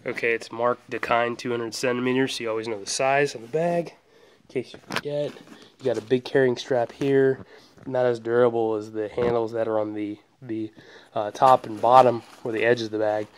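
A young man casually walking through a product at home, relaxed and rambling with lots of ums and restarts, filmed on a handheld phone. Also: mid-range voice, moderate pace, slight background noise. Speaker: product reviewer. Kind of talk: casual hands-on product walkthrough. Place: indoors, carpeted room at home.

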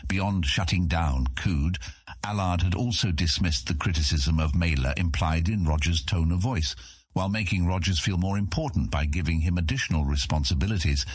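A man reading scripted fantasy narration as an audiobook narrator, with a husky voice. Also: rumbling low, dry recording.

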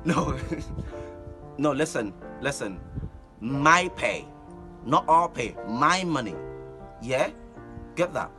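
An actor playing an exasperated, dismissive boyfriend abroad in a phone-call drama, speaking emphatically and impatiently. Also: male voice, medium pitch, lively intonation, slow pace, long pauses, music in the background. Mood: firm, dismissive. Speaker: actor in a comic relationship drama.

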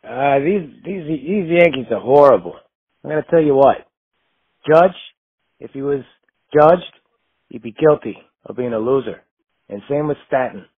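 A man's voice: mid-range voice, lively intonation, a little slow, pauses often, no echo. A man ranting as a caller on voicemail, scornful and emphatic. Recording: rough, narrow phone voicemail.

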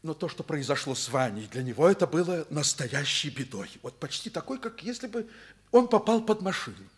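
An actor playing a stern but passionate middle-aged school director, earnest and insistent, in a Soviet radio drama. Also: male voice, on the high side, big pitch swings, brisk. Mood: earnest pleading. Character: stern, passionate male school director defending his pupil.

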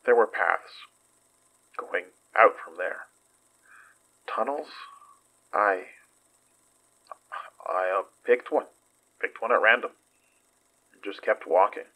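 Weary investigator, horror podcast drama. Deepish, husky, halting delivery, haunted by what he recounts. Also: bright, forceful tone, heavily acted, poor audio. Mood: subdued. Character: weary male investigator recounting a dangerous excursion. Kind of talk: horror audio drama acting.

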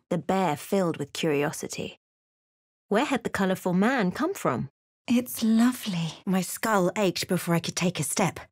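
A woman voicing a solemn adventurer who narrates a fantasy game journey in a measured storytelling manner. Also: on the low side, dry recording, clean studio sound.

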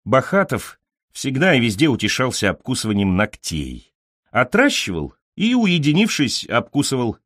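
A man narrates a literary audiobook in a mid-pitched voice. His delivery is expressive, with animated intonation, and the sound is dry and close. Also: normal speed, no echo.

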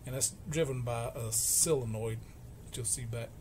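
Male repair vlogger, mid-to-low everyday pitch, explains haltingly and informally on a noisy workbench recording. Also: a little mellow. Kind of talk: informal hands-on repair narration. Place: indoor electronics workbench. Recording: clearly noisy workshop camera recording.

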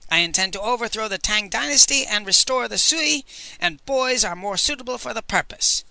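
A man voicing an enormous, cold old woman tyrant who grunts and growls her orders in a loud, clipped way. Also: sits high, lively intonation, normal speed, creaky, dry recording, pitched above the performer's own voice, heavily acted, low-quality recording. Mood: commanding.

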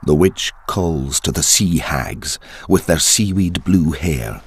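A man narrating a dark verse tale as a storyteller, theatrical, deliberate and ominous, with a husky deep voice. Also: expressive. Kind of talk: dramatic scripted storytelling in verse.

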